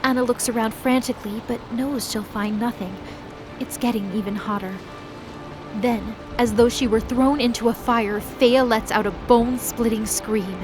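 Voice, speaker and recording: A woman giving expressive, scripted dramatic narration as the storyteller of a fantasy audio drama. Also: a little high, normal speed, music in the background, low-quality recording.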